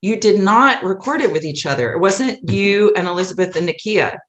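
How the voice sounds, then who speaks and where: A woman's voice: medium pitch, animated, moderate pace. A woman chatting casually as an interview guest, emphatic and repeating herself to make a point. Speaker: guest, theatre director. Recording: roomy echo on the voice.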